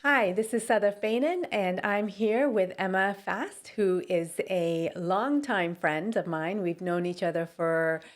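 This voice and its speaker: Woman, forties, grew up in Pakistan, podcast host, mid-pitched voice, friendly, laughs and murmurs along. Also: a little slow, hardly any pauses, faint music behind. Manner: friendly, laughs often, quiet encouraging mm-hmms. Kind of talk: casual interview chat.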